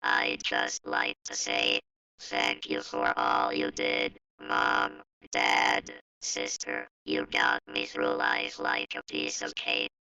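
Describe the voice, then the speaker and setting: A man's voice: very deep voice, monotone, slow pace, pushed and bright, smooth, no room sound, exaggerated voice. A man playing a solemn, resigned, doomed man saying farewell to his family in an amateur apocalyptic audio drama. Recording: dull, distant lo-fi with faint music.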